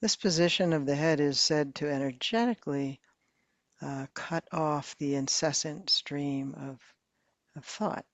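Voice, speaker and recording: Slow, soft-spoken woman guiding meditation. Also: low voice, dry recording. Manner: slow, soft and calm, with long pauses. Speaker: meditation teacher. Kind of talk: guided meditation.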